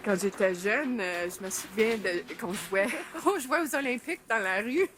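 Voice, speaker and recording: A woman's voice: medium pitch, expressive, moderate pace, fairly bright tone, faint music behind. Woman, chatty and laughing. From Sudbury, Northern Ontario, Canada. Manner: chatty, laughs often, uses many fillers. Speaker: mountaineer interviewee. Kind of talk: documentary interview, casual recollection.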